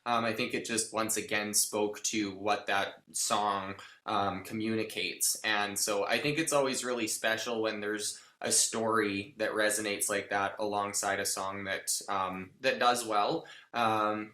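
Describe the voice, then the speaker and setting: A man from Canada, a country music group member, answering interview questions in a reflective, measured way. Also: on the low side, pushed and bright, roomy sound.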